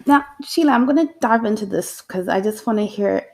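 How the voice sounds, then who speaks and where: Woman, podcast host, encouraging, gravelly voice, mid pitch. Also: a little fast, a little mellow. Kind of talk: casual interview chat.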